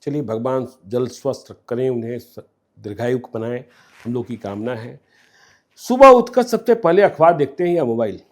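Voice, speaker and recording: A man interviewing a guest, firing off quick questions and often murmuring his assent. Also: medium pitch, animated. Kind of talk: rapid-fire interview questions.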